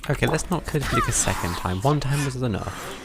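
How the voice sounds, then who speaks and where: A man's voice: mid-range voice, animated, brisk, very noisy. A man giving casual live gameplay commentary, muttering drily to himself as he plays. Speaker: game streamer. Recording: game music behind the voice. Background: video game music.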